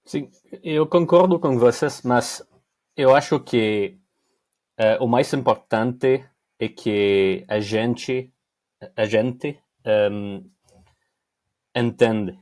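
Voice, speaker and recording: Slow, halting man with a Quebec French accent. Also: mid-range voice, a little mellow. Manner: hesitant, halting second-language speech with many fillers. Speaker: podcast guest. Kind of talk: casual chat.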